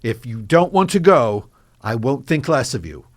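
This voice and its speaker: A cautious small-town man, played by an actor in an audio drama. His voice is mid-pitched, very expressive in its rise and fall, and closely recorded with a dry sound. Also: moderate pace, dry recording. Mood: anxious.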